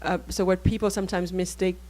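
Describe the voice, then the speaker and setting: Soft low-voiced woman author, even tone. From Haiti. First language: Haitian Creole. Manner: thoughtful, halting, many restarts. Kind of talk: panel discussion.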